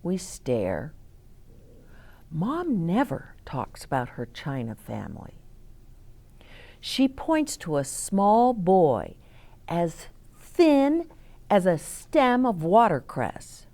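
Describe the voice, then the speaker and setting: A woman reading picture books aloud to children as a storytime host, in a deliberate, explaining way. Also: fairly low pitch, huge pitch swings, warm tone. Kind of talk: expressive read-aloud for children with asides to listeners.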